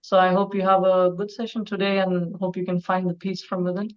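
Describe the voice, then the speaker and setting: A man from south India, introducing himself calmly on a video call. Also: high voice, fairly quick, clean tone, lo-fi sound. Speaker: presenter and musician. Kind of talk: self-introduction.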